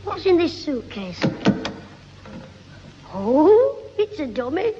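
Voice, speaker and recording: A man's voice: very high-pitched, very animated, slow, mellow, full character voice. A man playing a cheeky, scheming English schoolboy with a Lancashire accent in a 1960s radio comedy, full of wheedling backchat. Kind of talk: 1960s radio sitcom acting. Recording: reverberant, muffled, hissy 1960s radio recording.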